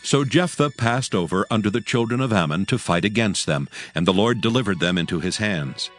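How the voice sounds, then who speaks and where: A male narrator with a fairly low voice reads scripture in archaic English. The delivery is solemn and measured, and faint music plays behind it. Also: expressive.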